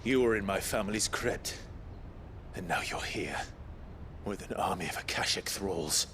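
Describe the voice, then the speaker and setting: A man's voice: deep voice, animated, a little slow, fairly bright tone, a little hiss or hum. An actor playing an angry, defiant young nobleman in a video game, intense and confrontational in clipped phrases. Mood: cold anger. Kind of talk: dramatic video game voice acting.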